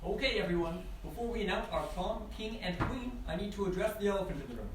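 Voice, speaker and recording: Man as stuffy high school teacher, high voice, table-read acting, distant noisy mic. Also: animated, quick pace. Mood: announcing. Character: stuffy adult male high school authority. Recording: very echoey room, distant mic, noise.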